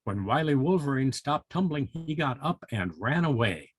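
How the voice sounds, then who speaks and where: An older man with a husky voice, reading a storybook and chatting to young children. He is folksy and musing, and the recording is close and dry. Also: mid-range voice, lively intonation, moderate pace, no room sound. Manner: folksy, musing, with interjections like hmm and well. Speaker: volunteer storybook reader for children.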